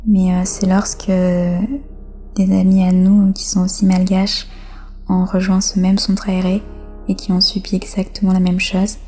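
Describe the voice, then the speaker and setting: A woman from France giving personal testimony as an interview guest, speaking reflectively with hesitant pauses. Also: medium pitch, monotone, warm tone, soft background music.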